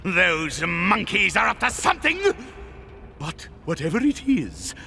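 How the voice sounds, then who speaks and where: Cartoon crocodile tyrant, very high voice, wildly swooping, pompous, grandiose, short-tempered, theatrical. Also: male voice, very animated, creaky, a little hiss or hum, heavily acted. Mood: suspicious, scheming. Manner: pompous, grandiloquent, drawn-out emphatic delivery. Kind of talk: theatrical cartoon villain acting. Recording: cartoon soundtrack with loud music behind.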